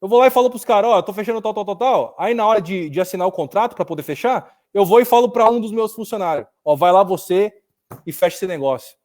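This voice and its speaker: A Brazilian man with a Brazilian Portuguese accent speaks in a high, quick voice, carrying a casual live discussion with animated stories. He talks from his office over a video call and keeps calling his listener "cara". The delivery is fast and full of momentum. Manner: animated storytelling, often says "cara". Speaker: business owner and co-host.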